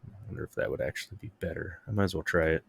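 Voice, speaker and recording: A man's voice: low voice, rapid-fire, gravelly, a bit bright, slight background noise. A man streaming a game, thinking aloud in casual, rambling commentary. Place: live stream with game audio behind.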